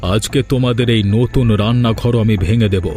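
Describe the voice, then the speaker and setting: A man's voice: lowish voice, steady tone, moderate pace, mellow, soft background music, close to an everyday voice. A man voicing a greedy scheming cartoon villain. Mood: menacing. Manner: stammering when defeated. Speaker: villain Chandrakanta. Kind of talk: cartoon voice acting.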